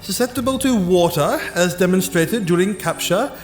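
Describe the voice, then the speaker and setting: A high male voice rings in a roomy space over music. It is an actor as an imperious, pompous scientist of fantasy audio drama. He dictates in formal, precise, clinical phrases. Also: animated, moderate pace. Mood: clinical, commanding. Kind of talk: full-cast fantasy audio drama acting. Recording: roomy echo with music behind.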